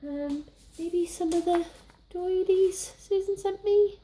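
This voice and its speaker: A young Welsh woman, a little croaky from being sick, chatting casually and rambling while she works on a scrapbook page. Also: extremely high pitch, slow, dark tone, slight background noise. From Wales. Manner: chatty, rambling, self-deprecating. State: slightly croaky from illness. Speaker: scrapbooking crafter. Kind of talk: casual crafting chat.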